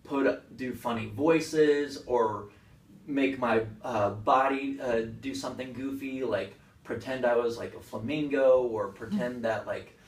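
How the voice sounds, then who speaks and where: A man who performs on stage answers interview questions casually, explaining things simply in a halting, conversational way. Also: medium pitch. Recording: echoey room, far from the mic.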